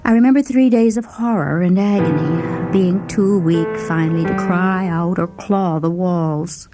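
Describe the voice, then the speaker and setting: A woman narrating a first-person short story in a dramatic, expressive, theatrical manner. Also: on the low side, a little slow. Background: music.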